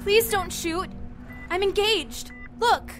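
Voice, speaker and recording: Very high-pitched frightened young hostage. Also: female voice, slow, fairly bright tone, heavily acted. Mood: frightened, pleading. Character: frightened, pleading young female sailor held hostage. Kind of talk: audio drama acting. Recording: music bed under the voice. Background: music.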